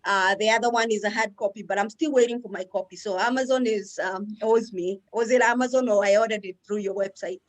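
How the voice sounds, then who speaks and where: A woman's voice: medium pitch, fairly quick, hardly any pauses. A woman hosting an author interview, asking questions in a friendly, hesitant way with many fillers. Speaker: interview host. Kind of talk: casual interview talk.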